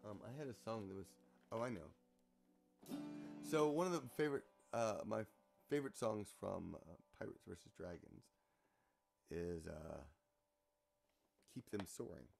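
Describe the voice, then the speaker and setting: A man in his forties chatting casually between songs on a home livestream, relaxed and rambling with fillers. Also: lowish voice, unhurried, vocal fry, long pauses, soft background music. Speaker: singer and host of a live music stream. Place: small living room, indoors.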